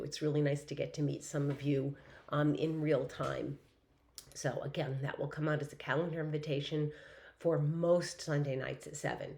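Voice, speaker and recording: A woman in her fifties, hoarse from laryngitis, giving a recorded course welcome lecture as a college instructor, with a conversational delivery full of ums and restarts. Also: sits low, darkish tone.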